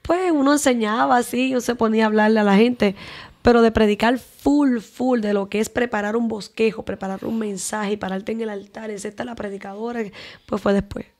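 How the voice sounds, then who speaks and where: Young Dominican evangelist with a Dominican accent; chatty, thorough talker in a podcast interview. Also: female voice, on the high side, steady tone, brisk, darkish tone. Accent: Dominican Spanish. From Dominican Republic. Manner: talkative, lengthy explanations. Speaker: evangelist guest.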